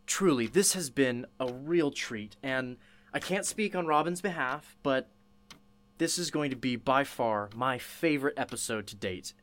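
A sympathetic radio interviewer played by a woman speaks in a low female voice. She is effusive and earnest, in the manner of an audio drama. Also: animated, unhurried. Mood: grateful, earnest. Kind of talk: radio play acting.

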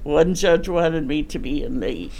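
A woman's voice: sits low, expressive, unhurried, gravelly, darkish tone. An older woman reminiscing casually as a court clerk in an interview, repeating phrases for emphasis.

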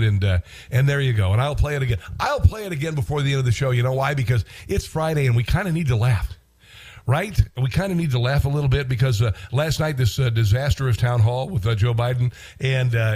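A man's voice: mid-range voice, darkish tone. Congested American man hosting talk radio. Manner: wry, repeats phrases for emphasis. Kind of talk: casual opinionated monologue.

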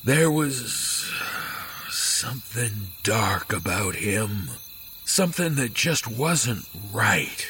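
An actor playing a grim, haunted middle-aged father in a horror audio drama, speaking gravely and haltingly. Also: lowish voice, lively intonation, bright, forceful tone, a little hiss or hum, full character voice. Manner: slow, grave, halting delivery. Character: grim, haunted father confiding a dark family secret.

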